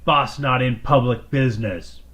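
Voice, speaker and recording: A man acts out a hulking alien thug from a space opera story, rough and raspy. He is slow-witted and proud, bragging about himself in blunt broken English. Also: mid-range voice, a bit bright, natural voice. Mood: dismissive. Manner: broken English, third-person boasting. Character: huge dim boastful male alien enforcer with spiked knuckles.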